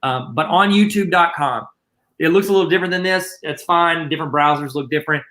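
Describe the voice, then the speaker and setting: A man with a Southern accent walks teachers through the basics in a chatty, joking way. His voice sits a bit high and sounds bright and forward. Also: a little fast. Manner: joking, chatty, self-deprecating asides. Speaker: guest presenter teaching teachers. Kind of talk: casual instructional webinar.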